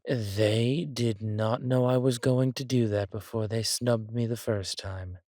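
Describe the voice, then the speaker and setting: A man playing an arrogant, petulant villain king in an audio drama, with a drawling, theatrical, sardonic delivery and a breathy, husky voice. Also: medium pitch, steady tone, unhurried, dark tone, no echo, studio quality, lightly acted. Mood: defensive, sardonic. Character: arrogant, petulant villain king, cruel yet doting on his consort.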